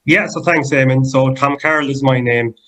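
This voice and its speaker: Young Cork man, relaxed and chatty, mid-range voice. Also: medium pitch, normal speed. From Cork, Ireland. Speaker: guest, primary school teacher. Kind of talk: self-introduction.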